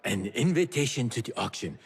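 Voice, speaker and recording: A worldly game merchant with a husky voice that swoops expressively through teasing and proverbs. He talks like a fond, theatrical mentor, in a space with long reverb. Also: mid-range voice, very animated, a little fast, full character voice. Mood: wry. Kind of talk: video game cutscene acting.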